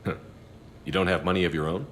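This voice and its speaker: An actor playing a steady, supportive military commander in a video game, calm with a dry, wry humour. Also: male voice, deep voice, fast, big-room sound, slight background noise. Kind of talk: video game dialogue acting.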